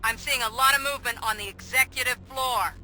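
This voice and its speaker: A man's voice: extremely high pitch, steady tone, a little fast, over music, rough recording. An actor playing an alert man reporting from a helicopter in a video game. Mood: urgent.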